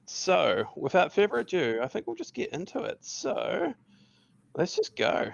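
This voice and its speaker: A man hosting a podcast in an excitable, hyped-up way, drawing words out as he makes casual introductions. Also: high-pitched, measured pace, gravelly, highs cut off. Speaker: podcast host.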